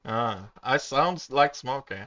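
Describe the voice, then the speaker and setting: A man's voice: medium pitch, expressive, takes it slowly, pushed and bright, no echo. A man joining a stream argument, amused and stammering as he cuts in. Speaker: stream co-host. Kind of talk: livestream banter. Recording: dull, lo-fi mic.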